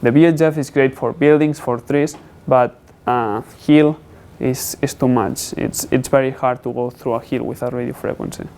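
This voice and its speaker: A man giving a student technical presentation in halting, English. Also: medium pitch, fairly even intonation, darkish tone, a little hiss or hum. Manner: halting, non-native English phrasing. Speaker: student presenting a capstone project.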